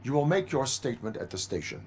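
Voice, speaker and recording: A man playing a stoic cyborg policeman in a video game, speaking in a formal, clipped, deadpan way. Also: mid-range voice, fast talker. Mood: stern. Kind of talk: video game cutscene acting.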